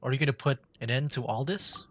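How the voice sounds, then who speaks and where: A man's voice: mid-range voice, fairly quick, sharp tone. A man giving chatty, informal live commentary as he streams a video game. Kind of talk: casual live gameplay commentary.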